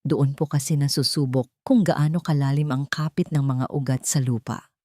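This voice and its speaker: A compassionate nanny in an audio love story , acted out by a woman narrator. She speaks softly and respectfully, in a hushed way. The sound is close and dry. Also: rumbling low, steady tone, normal speed, no echo, pitched below the performer's own voice. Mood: gentle, wise. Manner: soft, hushed, deferential.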